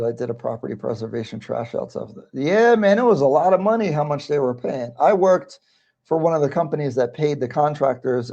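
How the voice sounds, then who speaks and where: Male livestream host, casual and rambling, gravelly mellow voice. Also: fairly high pitch, highs cut off. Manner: rambling, conversational, reads viewer comments aloud. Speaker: livestream host, real estate broker.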